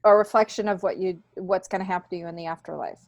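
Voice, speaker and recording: A woman's voice: on the low side, steady tone, quick pace, vocal fry, no room sound. A middle-aged woman speaking candidly and reflectively in an informal interview, repeating points for emphasis. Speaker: podcast guest, stand-up comedian.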